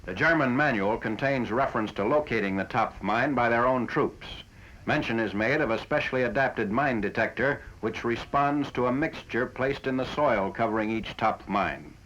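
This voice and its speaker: A man reading a scripted 1940s military newsreel narration in a formal, factual, measured manner. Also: medium pitch, a bit bright. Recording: dull, noisy 1940s film soundtrack.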